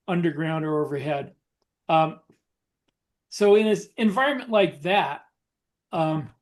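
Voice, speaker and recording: A man co-hosting a casual podcast discussion as a writer, explaining things in a halting way. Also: sits high. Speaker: podcast co-host, science fiction writer.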